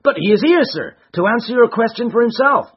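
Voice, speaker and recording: A man's voice: very high voice, expressive, fairly quick, breathy edge, voice pushed up, heavily acted. A man voicing a nervous young male stable hand who speaks eagerly and deferentially, in a muffled recording.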